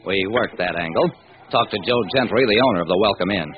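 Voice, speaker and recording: A man's voice: lowish voice, brisk, lightly acted. An actor playing a driven, wry police detective who narrates his case in hard-boiled radio drama style. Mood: wry, determined. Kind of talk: old-time radio crime drama narration. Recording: dull, noisy vintage radio recording.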